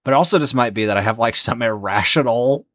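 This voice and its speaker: A man chatting casually on a live stream, rambling with many fillers and restarts. His voice is hoarse and sleepy. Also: medium pitch, quick pace, a bit bright, no echo, studio quality.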